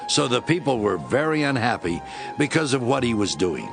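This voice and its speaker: A man narrating scripture from a script in a measured storytelling manner. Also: medium pitch, big pitch swings, vocal fry, over music.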